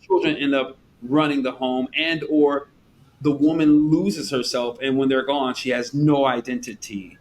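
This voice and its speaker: A man hosting an interview, asking questions in an animated way with frequent affirmations. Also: mid-range voice, unhurried, slight background noise, poor audio. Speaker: interview host. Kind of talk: casual interview conversation.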